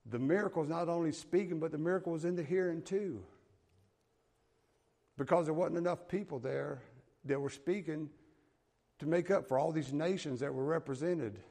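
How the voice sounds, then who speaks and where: A man's voice: on the high side, moderate pace, creaky, pauses often, mellow. A man with a Southern US accent preaching a sermon, reading scripture aloud and explaining it in a folksy, plain-spoken way. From American South.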